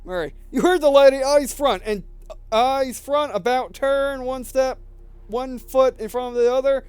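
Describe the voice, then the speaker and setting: A man voicing a commanding woman in a sci-fi game who barks loud, clipped orders at survivors. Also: extremely high pitch, a little slow, clear, resonant tone, dry recording, soft background music, pitched above the performer's own voice. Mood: commanding, urgent.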